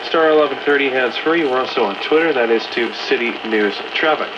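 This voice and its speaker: A man's voice: mid-range voice, brisk, highs cut off. A man reading a live traffic report, heard through a radio speaker. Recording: noisy AM radio picked up by microphone.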